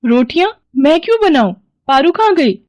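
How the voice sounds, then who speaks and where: A woman's voice: sits high, very animated, normal speed, a little mellow, pitched above the performer's own voice. A woman voicing a spoiled, bossy college girl who looks down on her sister. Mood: sulky. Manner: sulky, dismissive.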